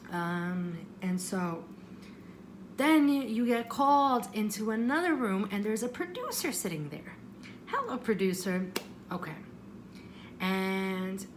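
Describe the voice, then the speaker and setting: A woman vlogger telling a story casually in the first person, rambling and expressive, with self-deprecating, apologetic asides, on a homemade-sounding recording. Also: mid-range voice, noisy background.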